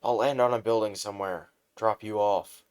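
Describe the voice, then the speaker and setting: Man voicing a big superhero, booming, formal, grand, loud, heroic. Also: steady tone, moderate pace, clean tone, lightly acted. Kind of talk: fan retelling of a hero story.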